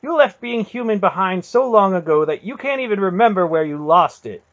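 A man's voice: high voice, lively intonation, a little fast, a bit bright, muffled recording, voice pushed up. A man voicing a defiant, hurt adolescent boy in an audiobook, heated and protesting, with a strained delivery. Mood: furious. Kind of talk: dramatic audiobook character voice.

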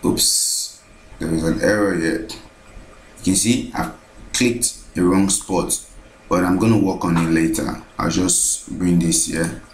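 A man narrating a screen-recorded software tutorial, thinking aloud as he repeats each step. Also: low voice, fairly even intonation, slow, echoey room, a little hiss or hum, low-quality recording.

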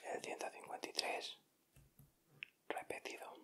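A young man with a Castilian Spanish accent hosts in a whispered ASMR style. His whisper is crisp and bright, and he takes his time, speaking very slowly and directly to his viewers. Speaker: host of an ASMR channel.

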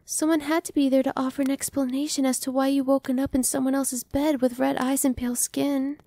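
A woman's voice: high voice, level tone, brisk, no room sound. A woman playing a sweet newborn vampire girl. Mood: reassuring. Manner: soft, reassuring, playful, drops to whispers. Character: cheerful young female vampire, newly turned, friendly. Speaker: voice actress. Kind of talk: ASMR roleplay.

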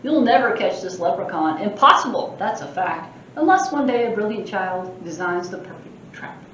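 A woman's voice: mid-range voice, lively intonation, normal speed, fairly bright tone, slight background noise, natural voice. A woman voicing a boastful, tricky male leprechaun in sing-song rhyming taunts, in a read-aloud storybook. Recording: echoey, distant, dull room sound.

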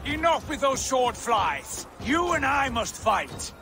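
Slow, high-pitched exultant Viking warrior. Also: male voice, very animated, background noise, full character voice. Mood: rousing. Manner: grand, declamatory, archaic phrasing. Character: proud, exultant Viking warrior brother, zealous visionary. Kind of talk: dramatic video game cutscene acting. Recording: loud music under dialogue.

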